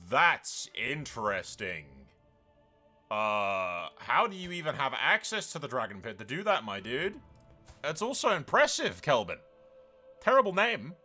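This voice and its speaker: A man hosts a video game playthrough with casual commentary and roleplay narration. His voice is bright and forceful, at an average pitch for a man. He talks in an informal, slangy way over faint background music. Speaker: gaming channel host.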